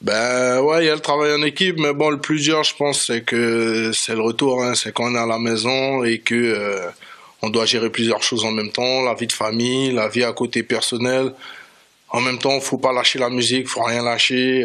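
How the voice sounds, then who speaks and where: A man's voice: mid-range voice, pushed and bright. A man from French Guiana, a reggae dancehall artist, talking casually as a guest in a radio studio interview.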